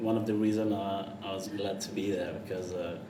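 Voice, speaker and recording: A young man from Belgium, a guest photographer, chatting in a relaxed, laid-back way and laughing often. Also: sits low, a little fast, a loud crowd. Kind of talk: casual chat. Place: café. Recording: far-off mic in an echoey café with noise.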